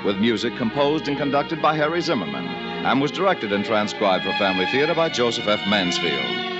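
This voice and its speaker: An American man announcing in a stately, polished, formal 1940s radio style. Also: on the low side. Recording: dull old radio recording, loud music.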